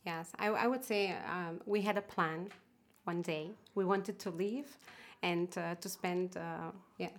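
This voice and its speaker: A woman from Catalonia, Spain, speaking as an interview guest in a casual, hesitant way, with many fillers and soft trailing phrases. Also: on the low side, fairly even intonation, measured pace. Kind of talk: casual conversation.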